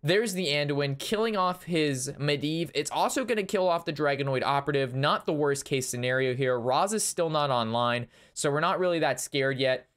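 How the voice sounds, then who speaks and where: A young man casting and reviewing a card game match, excitable and analytical, his voice strained from talking a long time. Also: a little high, normal speed, few pauses. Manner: excitable, analytical, talks through plays. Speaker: Hearthstone caster reviewing a match. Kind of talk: casual game commentary.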